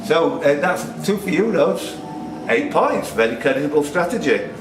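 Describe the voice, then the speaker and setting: Male quizmaster, higher-pitched and lively, casual banter, roomy off-mic sound with music behind. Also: moderate pace, far from the mic. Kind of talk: reading quiz questions with casual banter.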